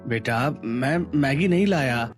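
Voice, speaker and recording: A man's voice: mid-range voice, expressive, slow, faint music behind. A man playing a practical, thrifty, resigned father of a poor family in a cartoon story. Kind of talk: Hindi cartoon story dialogue.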